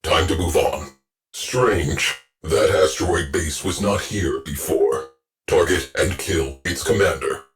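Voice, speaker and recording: An actor playing a grave male ship AI who gives clipped, measured military reports in a video game. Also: low-pitched. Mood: steady. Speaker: ship's command AI.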